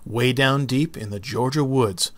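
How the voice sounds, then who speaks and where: A man narrating a children's book as an expressive read-aloud. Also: mid-range voice, measured pace, dry recording. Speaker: storybook narrator.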